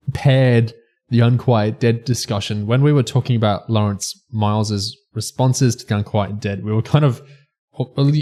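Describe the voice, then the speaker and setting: A man's voice: on the low side, a little mellow. A man speaking as a podcast panelist in a casual, analytical discussion.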